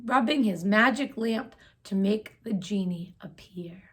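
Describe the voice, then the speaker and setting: A woman reading a children's picture book aloud in a gentle, expressive storytelling manner. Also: mid-range voice, a little slow, breathy edge.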